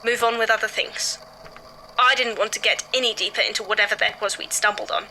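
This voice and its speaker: A woman playing a shaken young male podcaster giving a halting, earnest witness statement in an audio drama. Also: mid-range voice, fairly even intonation, bright, forceful tone, slight background noise, poor audio. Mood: shaken, reflective. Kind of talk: first-person audio drama monologue.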